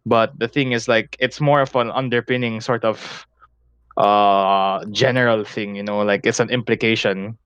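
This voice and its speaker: A young man with a Filipino accent holds forth on a casual podcast, drifting from point to point, turning analytical and swearing freely. His voice sits a little low and sounds smooth and clear. The sound is dry and close, with no room in it. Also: steady tone, normal speed, fairly bright tone, dry recording, highs cut off. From Philippines. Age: young adult. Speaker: podcast co-host.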